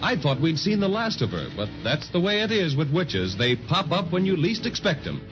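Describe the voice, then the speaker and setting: A man's voice: on the high side, very animated, moderate pace. A man narrating a 1930s children's radio serial in a folksy, confiding way, on a muffled old recording. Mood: concerned. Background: music.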